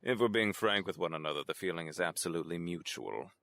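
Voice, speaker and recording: A man's voice: low-pitched, quick pace, gravelly, a bit bright. A man playing a sardonic, pompous older corporate executive in an audio drama, with theatrical delivery.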